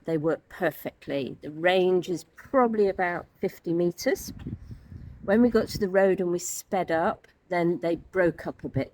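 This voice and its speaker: A woman's voice: fairly low pitch, warm tone, no room sound. A woman giving a candid, practical review in a casual, conversational style as a co-host. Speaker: vlog co-host and product reviewer.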